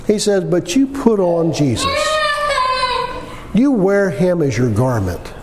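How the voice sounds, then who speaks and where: A man's voice: high voice, sing-song, slow pace. A man in his sixties preaching a sermon in a folksy, conversational way, stressing points through repetition and rhetorical questions. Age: 60s. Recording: long hall reverb with background noise.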